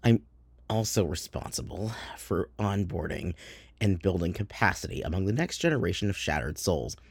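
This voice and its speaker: A man's voice: low-pitched, animated, normal speed, vocal fry, slightly rough, no echo, heavily acted. A man voicing an earnest, subdued office worker who speaks in corporate jargon in a comedy sketch. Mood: muddled, earnest.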